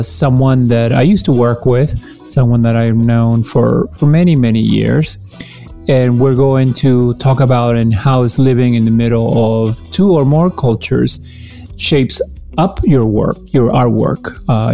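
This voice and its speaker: A man from Peru hosts a casual podcast chat, his voice mellow and dark in tone. He talks haltingly, full of "uh"s and restarts, over a video call with faint guitar music underneath. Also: mid-range voice, creaky. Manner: hesitant, many fillers and restarts. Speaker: podcast host.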